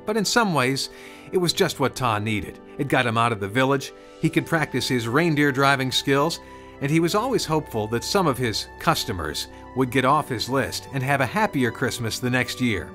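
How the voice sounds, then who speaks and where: Male Christmas storyteller over music. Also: medium pitch. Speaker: storyteller, narrator. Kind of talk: scripted storybook narration.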